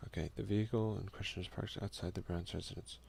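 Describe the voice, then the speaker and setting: A man's voice: deep voice, steady tone, fast, creaky, a little mellow, a little hiss or hum. A man hosting a casual ASMR let's play, talking informally with muttered slangy asides and reading game text aloud. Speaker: gaming video host. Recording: music behind the voice.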